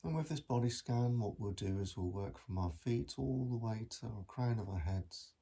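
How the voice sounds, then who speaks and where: A man guiding a meditation in a gentle, measured manner with long pauses between phrases. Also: fairly low pitch, gravelly, slightly rough. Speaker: mindfulness meditation teacher. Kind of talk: guided body scan meditation.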